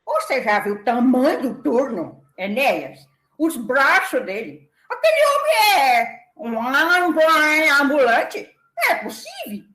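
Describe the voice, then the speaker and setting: A woman's voice: high voice, huge pitch swings, unhurried, fairly bright tone, reverberant, voice pushed up, exaggerated voice. Woman putting on a whining, cowardly soldier. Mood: panicked. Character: cowardly male soldier, panicky, whining, comic. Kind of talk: comic audio drama acting.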